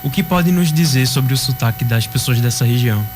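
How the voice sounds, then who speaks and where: A man's voice: medium pitch, fairly quick. A man co-hosting a student radio show, speaking in an upbeat, announcer-like way. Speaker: radio co-host. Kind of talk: radio presenting. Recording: radio with loud music bed.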